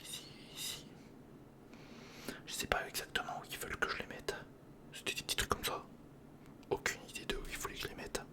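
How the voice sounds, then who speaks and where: A man's voice: brisk, long pauses. A man whispering breathily in a casual ASMR commentary, murmuring step by step to the viewer as if to a friend. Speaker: ASMR video creator, host. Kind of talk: ASMR, casual running commentary while building a model. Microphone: close recorder microphone.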